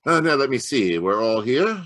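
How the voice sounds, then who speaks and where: Guy acting old radio mystery detective, lowish voice, really animated, stammery and formal, dry sound. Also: no echo. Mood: deliberate, authoritative. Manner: halting, stammering, then deliberate and formal. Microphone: home microphone on a video call.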